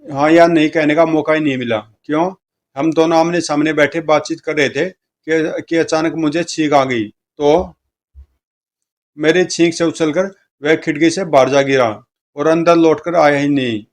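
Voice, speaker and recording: A man's voice: a little high, fairly even intonation, normal speed. A man reading comic strips aloud as a story narrator, speaking the dialogue of each character. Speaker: comic book reader, narrator.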